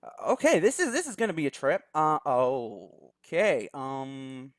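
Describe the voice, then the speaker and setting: A man's voice: a little high, sing-song, a little slow, dry recording, clean studio sound. A young man hosting a let's play, chatting casually and reading a visual novel's story text aloud. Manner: chatty, laughs easily, thinks aloud.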